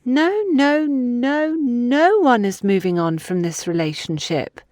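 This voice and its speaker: A woman's voice: a little high, takes it slowly, a little mellow, no room sound, studio quality, voice pushed up. A woman voicing an older, gossipy society mother in an audiobook, chatty, dramatic and insistent.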